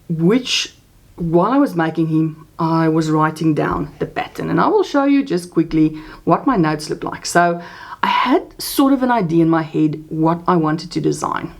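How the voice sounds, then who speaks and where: Low gravelly woman chatting about crochet. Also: a little mellow. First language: Afrikaans. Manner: chatty, rambling with many restarts and fillers. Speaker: crochet channel host. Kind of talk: casual crafting chat.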